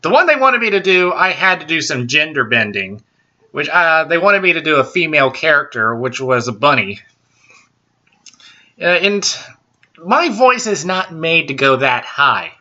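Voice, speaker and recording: A man hosting a casual solo show, talking slowly with lots of ums, uh's and restarts, in a baritone voice. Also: very animated, bright, forceful tone, dull audio. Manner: slow and halting, many ums and restarts. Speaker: podcast host.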